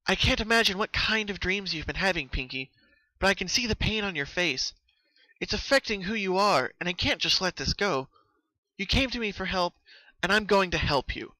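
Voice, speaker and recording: A man's voice: high-pitched, expressive, normal speed, sharp tone, no echo, voice pushed up, full character voice, low-quality recording. A man voicing a studious, caring female unicorn pony, speaking earnestly and articulately in a cartoon story reading. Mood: concerned, pleading.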